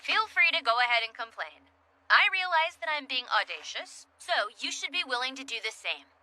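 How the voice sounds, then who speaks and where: A woman voicing a blunt, sharp-tongued teenage schoolgirl with a brusque, candid way of talking, in an anime dub. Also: high voice, very animated, a little fast, full character voice. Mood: earnest. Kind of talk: anime English dub acting.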